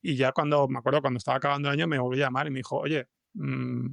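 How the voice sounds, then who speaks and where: Fast-talking, creaky-voiced Spanish man. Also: medium pitch, little pitch movement, fairly bright tone, no room sound, clean studio sound. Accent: Spanish (Spain). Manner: halting, restarts sentences often. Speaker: podcast fiction writer, interview guest. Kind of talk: conversational interview, anecdotal storytelling.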